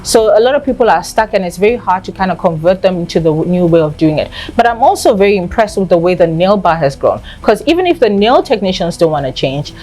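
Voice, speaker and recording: A woman's voice: fairly low pitch, expressive, quick pace, few pauses, darkish tone, rough recording. Candid woman telling her story. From South Africa. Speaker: nail salon owner. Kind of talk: casual interview answers.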